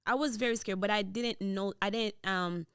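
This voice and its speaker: A young woman from Haiti with a Haitian Creole accent, a podcast guest telling her story in relaxed chat and slipping into Creole, on a studio boom mic. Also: normal speed, clear, resonant tone, dry recording. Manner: switches into Creole mid-sentence, relaxed storytelling. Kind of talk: casual interview chat. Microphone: boom-mounted studio mic.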